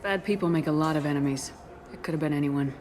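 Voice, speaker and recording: An actress playing a tough, level-headed military commander in a sci-fi video game, talking in a terse, direct, composed way. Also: female voice, low-pitched, fast, close to an everyday voice. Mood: firm, pragmatic. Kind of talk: dramatic video game dialogue. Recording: constant loud ambient noise behind.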